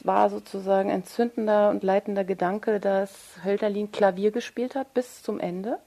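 A woman's voice: mid-range voice, fairly even intonation, moderate pace, dark tone. A woman speaking as an interviewed writer, thoughtful and articulate, searching for precise wording as she reflects on literature. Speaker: writer interviewed about own radio play.

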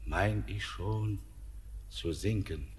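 Old mountain spirit, very deep, extremely slow and declamatory, long reverb. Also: male voice, heavy background noise. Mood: weary. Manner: very slow, weighty, declamatory verse. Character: old male mountain spirit, weary and otherworldly. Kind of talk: classic German radio play acting.

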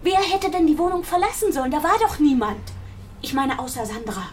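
A woman's voice: high voice, lively intonation, brisk, darkish tone, slight background noise. A woman playing a sulky, insistent young girl being questioned in a radio drama. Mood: defensive. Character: defensive young girl under police questioning.

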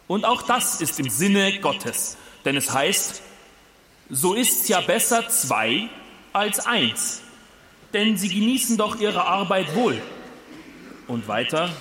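An actor playing a solemn, unctuous village priest who declaims a ceremonious wedding sermon in an audio drama. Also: male voice, sits high, sharp tone, heavily acted. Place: church, as staged. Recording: long church reverb.

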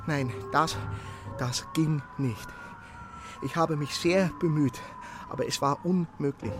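Radio drama: actor as servile, earnest male messenger, higher-pitched, halting, apologetic, light music underneath. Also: lightly acted. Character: eager, servile young male messenger reporting his efforts.

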